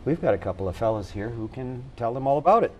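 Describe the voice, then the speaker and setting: A man's voice: medium pitch, lively intonation, fast talker, a little mellow, highs cut off, a little hiss or hum. A man hosting a local TV interview show, folksy and joking, with frequent fillers. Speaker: TV talk show host.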